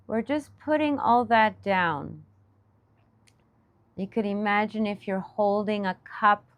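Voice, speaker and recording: A woman leading a guided meditation in a soothing, gentle manner, speaking in short unscripted phrases with long pauses. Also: medium pitch, clear, resonant tone, dry recording. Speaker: meditation teacher.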